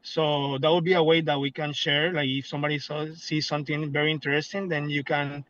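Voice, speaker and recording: A man's voice: fairly high pitch, little pitch movement, fairly quick, fairly bright tone, slight background noise. A man in a video call, explaining how to share a link in a hesitant, repetitive way. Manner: hesitant, repeats himself. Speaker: community member. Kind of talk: casual call chat. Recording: dull lo-fi call audio.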